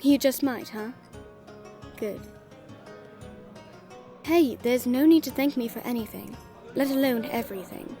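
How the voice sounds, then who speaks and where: A woman playing a friendly female merchant in a fantasy audio drama, gently teasing, caring and encouraging as she talks to the listener. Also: fairly high pitch, unhurried, long pauses, soft background music. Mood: caring, earnest.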